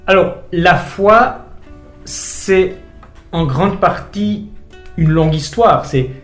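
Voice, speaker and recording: A middle-aged man from Switzerland, a pastor being interviewed on the radio, speaking thoughtfully with frequent pauses and hesitations. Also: sits high, expressive, a bit bright, roomy sound, soft background music.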